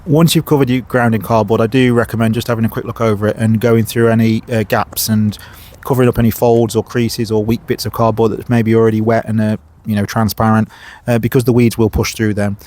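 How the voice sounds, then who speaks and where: A man's voice: lowish voice, flat delivery, a little fast, a little mellow. A man hosting a gardening vlog, talking casually outdoors at his allotment, sniffly with a cold and filling his talk with lots of uhs and ums. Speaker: gardening channel host. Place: outdoor allotment by a main road.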